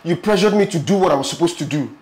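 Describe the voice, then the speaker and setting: A man's voice: on the high side, animated, fast talker, a little mellow, echoey room, close to an everyday voice. An actor playing an indignant, injured man in a drama, emphatic and repeating his complaints. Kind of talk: film drama dialogue with comic exasperation.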